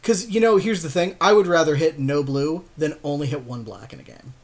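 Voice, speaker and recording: A man's voice: fairly high pitch, fairly quick. A man co-hosting a podcast in a casual, agreeable way, often echoing his partner while talking through card game choices. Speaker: podcast co-host.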